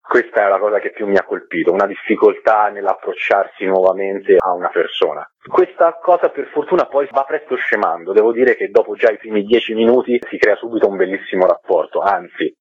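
A man's voice: fairly low pitch, a little fast, few pauses, husky, dry recording. A man giving conversational, explanatory interview answers as a guest actor, repeating words for emphasis, over a telephone line. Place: phone call into a radio programme. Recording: tinny, narrow-band telephone line.

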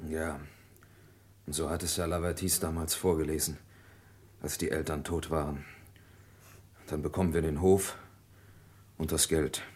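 Gruff farmer, low voice, plain, terse, conciliatory, radio drama. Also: male voice, steady tone, unhurried, long pauses, a little mellow. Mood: matter-of-fact. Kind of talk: German radio play acting.

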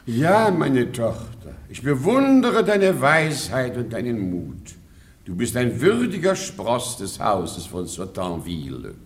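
A man's voice: mid-range voice, big pitch swings, takes it slowly. Pompous nobleman, wildly swooping delivery. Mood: proud. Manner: pompous, declamatory. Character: pompous nobleman father, proud of his lineage. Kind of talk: classic comedy radio play acting.

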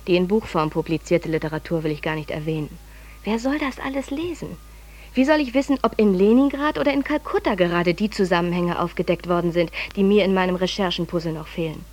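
A woman's voice: on the low side, a little fast, darkish tone, close to an everyday voice. An actress playing an overwhelmed, self-ironic, pedantic woman journalist in a radio drama monologue, speaking in a dramatic, articulate way. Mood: exasperated. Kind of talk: radio drama monologue, letter read aloud.

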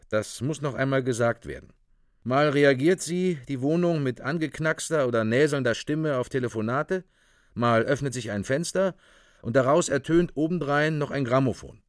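A man's voice: medium pitch, animated, normal speed, dry recording, studio quality. A man narrating a radio play from a script in a clipped, official, report-like manner.

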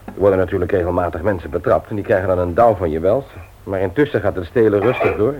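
A man's voice: sits low, quick pace, breathy edge, dull audio. An actor playing a teasing, forgetful husband and father in a naturalistic radio drama, speaking in a hesitant, conversational way. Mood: pondering. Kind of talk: naturalistic Dutch radio play acting. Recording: noisy old radio play recording, clicks.

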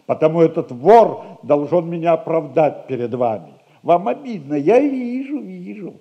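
A wily servant in a stage comedy. His high voice swings widely as he wheedles and pompously protests. The vintage recording is dull. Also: animated, normal speed, vocal fry. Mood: aggrieved. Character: wily male household servant, self-important, aggrieved, wheedling. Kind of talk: theatrical audio play acting.

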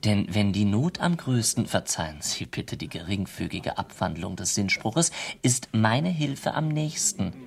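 A man's voice: on the low side, sing-song, measured pace, creaky, heavily acted. A man playing a wry, teasing narrator in a radio drama, florid and ironic, addressing the listeners.

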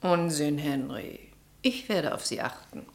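Composed, lower-voiced, knowing woman. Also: animated, measured pace, gravelly, darkish tone, exaggerated voice. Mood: knowing, brisk. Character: steady, pragmatic woman confidante named Julia. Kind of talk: German radio play acting.

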